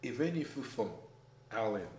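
A man with a French accent talking in a casual conversation, emphatic and animated, his voice rising when he makes a point. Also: medium pitch, brisk. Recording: echoey room, faint background noise.

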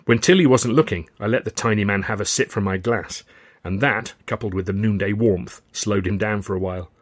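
A man reading his own first-person novel as an audiobook, with a wry, measured storytelling manner. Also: fairly low pitch, dry recording.

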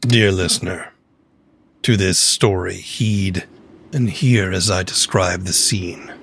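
An actor playing a solemn bard who narrates a dark tale in archaic rhyme with a grave, theatrical manner. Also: male voice, sits low, noisy background. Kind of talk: scripted verse narration.

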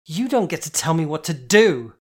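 A woman's voice: normal speed, gravelly, a little mellow. A woman voicing a drunk, tearful young woman who screeches in fury during a fight. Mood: furious. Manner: shrill, screeching, sneering.

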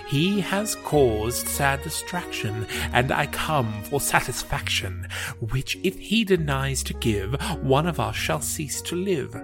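A man recites old verse at a slow, deliberate pace. His delivery is formal and dramatic, and music plays clearly behind him. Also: medium pitch, very animated. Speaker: poetry reader. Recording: music under the voice.

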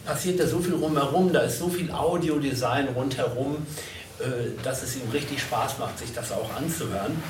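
A man hosting a live panel at a convention, wordy and halting as he moderates and interprets. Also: mid-range voice. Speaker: stage host and interpreter. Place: echoey convention hall. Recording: echoey, distant, noisy event recording.

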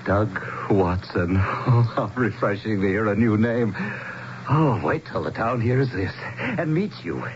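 An actor playing a genial, excitable middle-aged townsman with a hearty, eager manner in a radio drama. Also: fairly low pitch, a little slow. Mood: awed, delighted. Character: genial, excitable middle-aged man, neighbor or small-town mayor. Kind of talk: 1970s radio drama acting. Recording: dull, noisy 1970s radio broadcast.

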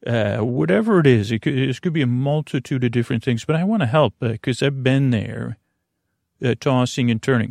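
A man hosting a bedtime podcast in a creaky, lulling voice, meandering gently through halting, self-interrupting tangents. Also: medium pitch, no room sound, studio quality. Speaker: podcast host. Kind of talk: rambling, soothing bedtime monologue.

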